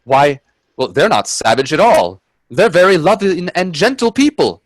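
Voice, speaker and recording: An actor playing a timid, wonder-struck gentleman companion in a radio play, with genteel, hesitant delivery. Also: a little high, very animated, moderate pace, no room sound. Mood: mild, agreeable. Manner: hesitant, stammering in dialogue, genteel phrasing. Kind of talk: radio drama acting and first-person narration. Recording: husky dry close audio from video-call performance.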